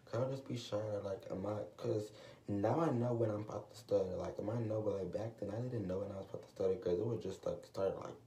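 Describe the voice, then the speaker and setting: A man's voice: on the low side, fast talker, dark tone, distant mic, a little hiss or hum. A teenage boy vlogging at home, talking casually to the camera in a rambling way, with a stutter that brings restarts and lots of fillers. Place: small room indoors, at home. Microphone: camera set low, below face. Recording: roomy echo, voice off-mic.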